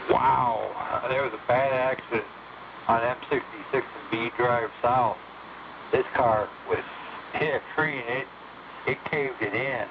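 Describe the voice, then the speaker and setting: An American man chatting casually over CB radio in a plain-spoken, halting way, often repeating himself. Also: medium pitch, fairly even intonation, vocal fry. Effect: CB single-sideband radio. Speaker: amateur CB radio operator. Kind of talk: casual local-news chat. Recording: muffled lo-fi CB radio, static.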